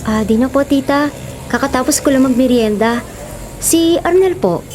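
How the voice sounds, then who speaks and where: A young woman acting a caring, gently admonishing girlfriend in a radio drama. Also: high voice, expressive, normal speed, slight background noise. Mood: polite. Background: music.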